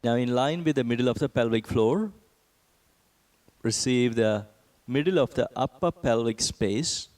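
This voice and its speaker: A man teaching a guided meditation, speaking in a gentle, measured manner with long pauses between phrases. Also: mid-range voice.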